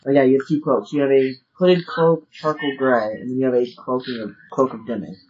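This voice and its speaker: A woman's voice: a bass voice, steady tone, a little fast, a little mellow. A woman talking casually as a player in a tabletop game. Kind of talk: casual chat during a game. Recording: dull sound with faint background noise.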